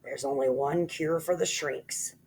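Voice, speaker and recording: A woman's voice: low voice, fairly even intonation, measured pace, a little mellow. A woman voicing a nasty old man who sneers and taunts his wife. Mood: mock-solemn taunting. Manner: sneering, teasing.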